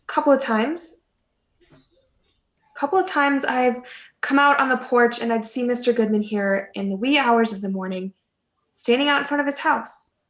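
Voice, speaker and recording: A woman's voice: a little high, close to an everyday voice. A woman acting a hesitant neighbour, roomy echo. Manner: halting, repeats phrases. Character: hesitant suburban woman neighbour voicing suspicion. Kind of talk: radio drama acting. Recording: roomy, echoing home recording.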